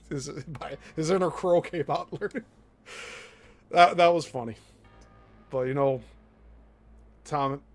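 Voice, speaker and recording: A man with a Midwestern accent hosting a live stream, commenting bluntly and with exasperation on clips he plays. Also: medium pitch. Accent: Midwestern American. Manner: blunt, exasperated, laughs often. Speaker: show host.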